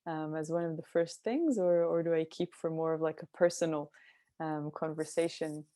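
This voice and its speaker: A woman's voice: low voice, steady tone, moderate pace, dark tone, no room sound, clean studio sound. A woman from Israel speaking as an interview guest, thoughtful and searching, with frequent ums and restarts. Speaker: guest, cultural mentor. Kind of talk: reflective interview talk.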